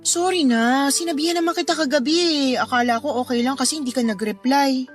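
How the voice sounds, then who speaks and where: High-pitched exasperated Filipino mother, played by a woman. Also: brisk, lightly acted. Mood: apologetic, pleading. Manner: casual, pleading, then snappy and bossy. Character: exasperated young mother, brisk, bargaining with her ex. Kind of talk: Filipino radio romance drama acting. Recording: music under the voice.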